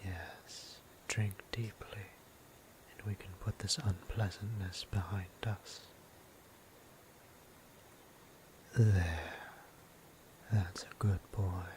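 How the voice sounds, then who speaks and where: A man playing a gentle, courtly vampire priest in an intimate audio roleplay, speaking softly and breathily with formal, tender, old-fashioned phrasing. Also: low-pitched, steady tone, slow, noisy background. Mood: tender, soothing. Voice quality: soft, breathy near-whisper.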